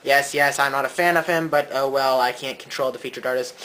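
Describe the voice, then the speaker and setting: A man's voice: mid-range voice, fairly even intonation, a little fast, pushed and bright. A man giving a casual, hands-on gadget review as a co-host.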